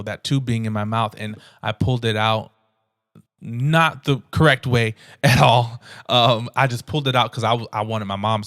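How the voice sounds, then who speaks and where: A man's voice: lowish voice, no echo, studio quality. A young man hosting a podcast, telling a personal story in an earnest, conversational way with many restarts. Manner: earnest, conversational, animated retelling with frequent restarts. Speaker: podcast host.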